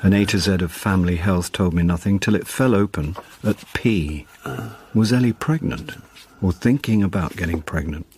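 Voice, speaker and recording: An actor playing a wry middle-aged amateur sleuth and put-upon son, in first-person radio drama with an understated manner. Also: male voice, deep voice, moderate pace, darkish tone, a little hiss or hum, close to an everyday voice. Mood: understated, watchful. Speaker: narrator and lead character.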